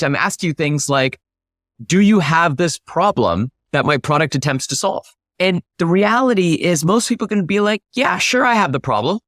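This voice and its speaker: A man with a somewhat high-pitched voice talks as a guest in an interview. He explains things in an instructive, emphatic way. The sound is very dry, with no room echo. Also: a bit bright. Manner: emphatic, instructive, illustrates points with acted-out example questions. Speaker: interview guest, startup founder.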